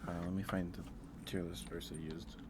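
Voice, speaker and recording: A soft-spoken man chatting casually and intimately with viewers on an ASMR livestream. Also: sits low, flat delivery, fairly quick, noisy background.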